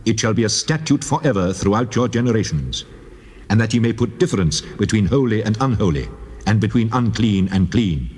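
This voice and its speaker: A man playing a solemn divine lawgiver, measured and authoritative, in a dramatized scripture reading. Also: on the low side, expressive, darkish tone, slightly rough. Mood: commanding. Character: the Lord God, solemn commanding divine lawgiver. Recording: long cavernous reverb, blurred voice.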